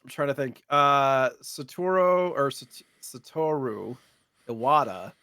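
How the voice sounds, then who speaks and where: Guy in his 30s on a gaming podcast, kinda halting, mid pitch, dry sound. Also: lively intonation, sharp tone, smooth, no echo. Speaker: podcast co-host. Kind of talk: casual retro gaming chat.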